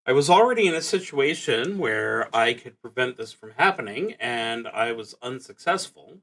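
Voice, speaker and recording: A man hosting a solo roleplaying stream, musing to himself in a halting, deliberate way as he plays. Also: mid-range voice, lively intonation, fairly bright tone, low-quality recording. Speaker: host of a solo roleplaying livestream. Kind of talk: thinking aloud while playing a solo tabletop game.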